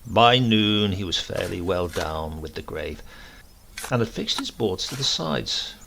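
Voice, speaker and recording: A man reading a literary comic story as an expressive, deliberate storyteller. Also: fairly low pitch, creaky, slight background noise. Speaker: reader of a comic short story. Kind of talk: scripted narration, literary storytelling.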